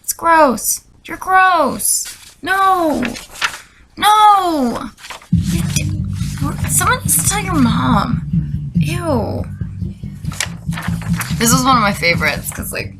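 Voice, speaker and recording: A woman giving deadpan comedic commentary and dramatic readings, rambling through sarcastic, incredulous asides. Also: high voice, drawn-out words, long pauses, noisy background. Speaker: comedy video creator. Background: music.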